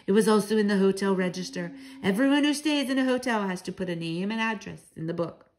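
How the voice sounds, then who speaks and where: A woman's voice: medium pitch, animated, quick pace, a little mellow, a little hiss or hum, close to an everyday voice. A woman voicing a bold, proud old Norwegian grandmother in a storybook, speaking with assured, dramatic emphasis and affection. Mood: self-assured, proud.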